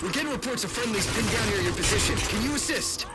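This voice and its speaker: Game radio part: earnest officer, lively high delivery over heavy score. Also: male voice, fast. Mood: urgent, earnest. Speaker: military officer. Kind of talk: video game radio dialogue. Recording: game radio call under loud music.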